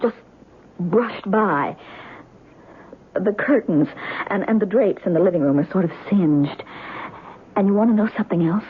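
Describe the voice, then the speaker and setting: An actress playing a frightened, uneasy wife in a 1970s radio drama, with halting, suspenseful delivery, on a thin, noisy recording. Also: female voice, medium pitch, slightly rough, phone-quality sound. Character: frightened wife uneasy in her haunted home.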